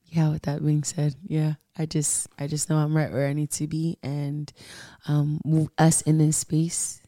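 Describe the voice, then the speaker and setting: Podcast guest: woman, lowish voice, effusive rambling talk, dry studio sound. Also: monotone, measured pace, no room sound. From Southern California. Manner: warm, effusive, rambling with many fillers. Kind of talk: casual podcast chat.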